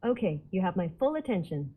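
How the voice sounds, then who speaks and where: Machine female assistant voice, short flat prompts, a little husky. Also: lowish voice, brisk, phone-quality sound.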